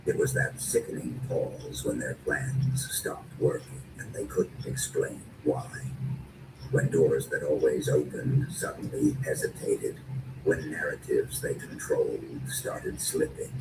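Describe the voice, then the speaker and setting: A man performing dark, dramatic spoken-word narration in short, deliberate and menacing phrases, as a narrator. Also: rumbling low, steady tone, creaky, reverberant. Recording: distant, noisy lo-fi recording.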